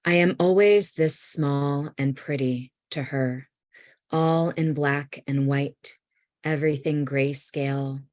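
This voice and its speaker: Woman, poet, very low pitch, slow measured reading, dry close sound. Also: fairly even intonation, darkish tone, no room sound. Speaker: poet reading own work.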